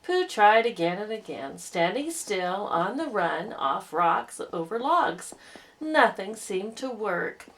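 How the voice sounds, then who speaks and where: A woman reading a children's storybook aloud in an expressive narrating style. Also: medium pitch, slow.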